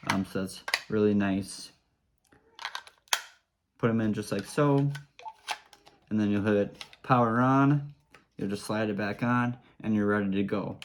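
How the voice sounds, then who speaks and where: A man's voice: on the low side, background noise. A man giving a casual product demonstration, explaining simple steps in an easygoing, informal way. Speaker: product reviewer.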